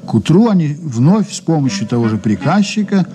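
An actor playing a composed investigator in a radio play, measured and dryly ironic. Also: male voice, a little high, very animated. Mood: measured, wry. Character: composed, wry male investigator recounting an old case. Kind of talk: radio drama dialogue and narration.

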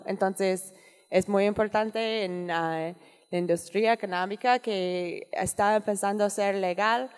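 Canadian woman speaking halting Spanish. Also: mid-range voice, darkish tone. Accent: Canadian English. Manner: halting non-native Spanish, searching for words. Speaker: guest speaker. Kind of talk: panel talk. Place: conference hall stage. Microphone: table microphone. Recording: echoey conference hall.

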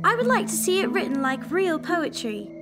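A woman's voice: extremely high pitch, sing-song, moderate pace. Lady doing a timid earnest little kid in an audio play, singsong up and down, music behind. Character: innocent, timid young children, playful verse-makers.

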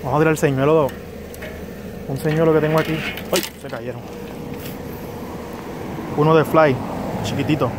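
A young man, filming himself fishing by a pond and commenting casually, muttering to himself with sudden excited bursts. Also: on the high side, moderate pace, pauses often. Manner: excitable bursts when a fish strikes, otherwise quiet muttering. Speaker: angler filming his fishing. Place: outdoors by a small pond among trees. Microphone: head or chest-mounted action camera. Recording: outdoor camera audio with faint background noise.

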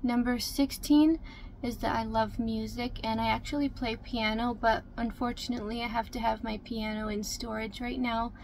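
A young woman in her twenties chats to the camera from a small camper, soft-spoken and easygoing, with lots of ums as she checks her notes. Her voice sits a little high and stays quite even in tone. A faint hiss lies under the close recording. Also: moderate pace. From Canada. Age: 20s. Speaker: vlogger. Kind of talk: casual get-to-know-me talk to camera. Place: small room inside a camper. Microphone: camera in front at close range.

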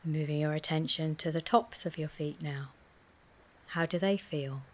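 A woman wellness coach leads a guided mindfulness body scan in a gentle, soothing, measured way, speaking in short phrases with pauses. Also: sits low. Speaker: wellness coach guiding a relaxation session.

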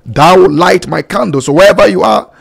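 A man's voice: fairly high pitch, expressive, moderate pace, creaky. A middle-aged man preaching and leading prayer fervently, declaiming in short, repeated phrases. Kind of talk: sermon and led prayer. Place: small dark studio, indoors. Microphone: close boom studio microphone with headphones.

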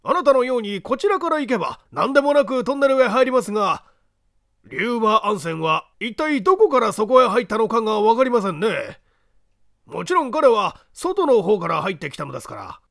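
A man voicing an earnest, puzzled gentleman in an audiobook, formal, deferential and questioning. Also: high-pitched, big pitch swings, fairly quick, gravelly, no room sound, studio quality, voice pushed up, full character voice. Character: earnest, puzzled gentleman, polite and easily flustered. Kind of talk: audiobook character dialogue.

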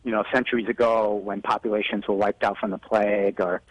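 Low-voiced man, doctor on the phone. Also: little pitch movement, brisk, dry recording, poor audio. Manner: explanatory, with frequent "you know". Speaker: physician guest expert on a news segment. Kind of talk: live interview, explaining health advice. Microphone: telephone. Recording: telephone interview audio on TV broadcast.

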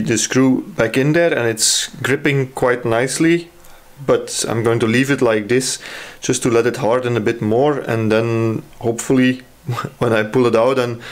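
A man presenting a hands-on restoration casually, hesitant and thinking aloud as he explains each step. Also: medium pitch. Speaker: hobby radio restorer presenting a repair. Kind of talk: casual hands-on repair walkthrough.

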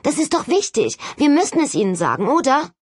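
Woman voicing an earnest girl, pitched up. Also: very animated, brisk, no echo, studio quality. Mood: earnest, insistent. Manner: earnest, insistent.

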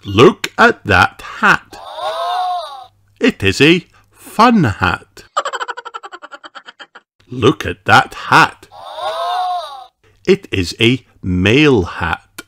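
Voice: medium pitch, very animated, extremely slow, long pauses, bright, forceful tone, slight background noise. A narrator reading a picture book aloud for young children in a storytelling style.

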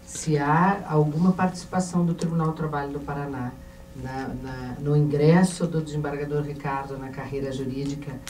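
A middle-aged Brazilian woman giving a formal tribute from a panel in a measured, ceremonious way. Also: deep voice, steady tone, a little mellow. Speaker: panel member. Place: indoor auditorium panel table. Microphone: handheld mic, recorded by a distant camera. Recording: echoey, noisy hall from far off.

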